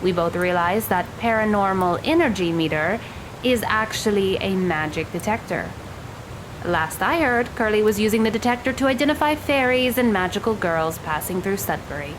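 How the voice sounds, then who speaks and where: A woman's voice: mid-range voice, expressive, moderate pace, background noise, close to an everyday voice. Sardonic, aloof teenage girl played by a woman. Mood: dry, detached. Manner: dry, curt, sarcastic. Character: cold, sarcastic thirteen-year-old magical girl. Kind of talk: audio drama acting.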